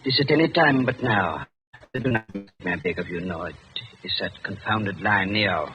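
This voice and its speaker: An actor playing a weary, regretful older German doctor in an old radio drama, with a German accent and halting, formal phrasing. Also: male voice, low voice, expressive. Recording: dull, noisy old radio broadcast.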